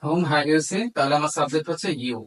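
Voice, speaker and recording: A man's voice: on the high side, brisk, rough recording. A man with a Bangladeshi accent teaching step by step, mixing Bengali with English terms and dictating piece by piece. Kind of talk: instructional lesson, explaining step by step.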